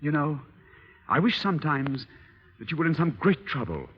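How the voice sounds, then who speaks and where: An actor playing an adoring, condescending husband in theatrical 1930s radio style, on a muffled old recording. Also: male voice, a little high, big pitch swings, normal speed, slightly rough. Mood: ardent. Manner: patronizing, ardent, theatrical. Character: adoring, condescending middle-class husband in a period drama.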